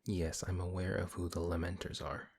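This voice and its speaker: A man playing a big, good-hearted, exasperated paladin in a fantasy audio roleplay, flustered and questioning, with a husky voice. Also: low voice, flat delivery, normal speed, darkish tone, slight background noise. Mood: concerned, probing.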